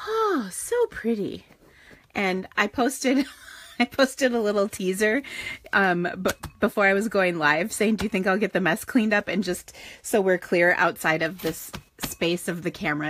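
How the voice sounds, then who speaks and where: A woman's voice: mid-range voice, a bit bright, slightly rough. A woman with a Minnesotan accent chatting casually while hosting a live stamping stream, her voice tired and starting to go. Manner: chatty and rambling, laughs often. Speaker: stamping crafts host. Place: home craft office.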